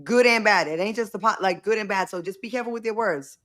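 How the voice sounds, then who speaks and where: Woman, New England accent, bright clear tone, loose chatty co-host. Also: mid-range voice, fast. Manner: chatty, loose, laughs at herself. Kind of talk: casual chat.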